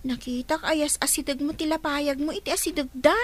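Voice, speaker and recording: A woman's voice: sits high, fairly even intonation, a little fast, natural voice. A woman voicing a cheeky young niece who teases and pesters her uncle in a radio drama. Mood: teasing.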